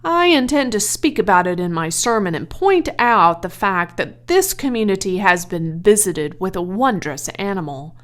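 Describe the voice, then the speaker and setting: A woman voicing an earnest, authoritative male country minister in a storybook, with a formal, measured delivery. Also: medium pitch, sing-song, lightly acted. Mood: grave, earnest.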